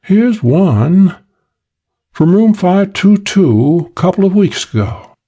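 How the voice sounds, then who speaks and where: Brassy, slangy young switchboard woman read by a man, voice pitched high, sassy. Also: very animated, slow, dark tone, muffled recording, exaggerated voice.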